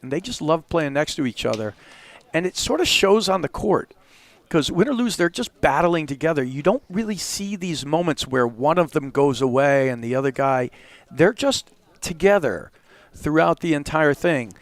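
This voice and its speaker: A man's voice: fairly high pitch, expressive, normal speed, no echo. A man commentating a platform tennis final in a chatty, conversational way, then wrapping up the broadcast. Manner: chatty, conversational, fillers like "you know". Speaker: play-by-play commentator. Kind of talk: sports commentary wrap-up.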